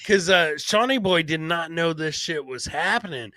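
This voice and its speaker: A brash male podcast host in casual live-stream banter. His voice sits a little high for a man and is recorded dry and close, with frequent swearing in his delivery. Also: lively intonation, moderate pace, bright, forceful tone, dry recording. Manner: brash, heavily profane.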